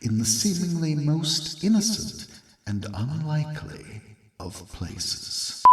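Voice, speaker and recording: A man playing a solemn B-movie prologue narrator, speaking in a grave, deliberate and portentous way. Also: fairly low pitch, fairly bright tone, full character voice. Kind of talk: scripted dramatic film prologue narration. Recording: echoey, distant from the mic.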